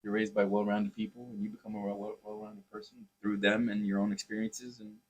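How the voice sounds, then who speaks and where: A man's voice: lowish voice, level tone, fairly quick, slightly rough, lo-fi sound. A man chatting casually as a podcast co-host, emphatic and sweary, repeating phrases for stress. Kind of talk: casual opinionated chat.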